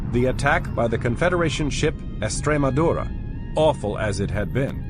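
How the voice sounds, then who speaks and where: Male narrator with lively pitch over music. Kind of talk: dramatized audiobook narration.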